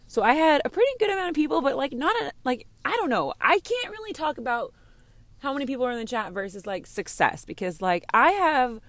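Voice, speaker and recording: A slightly hoarse woman chatting candidly and casually as a podcast host, rambling and gushing, easily distracted. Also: a little high, a bit bright, no room sound. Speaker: podcast host and game streamer. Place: inside a parked car.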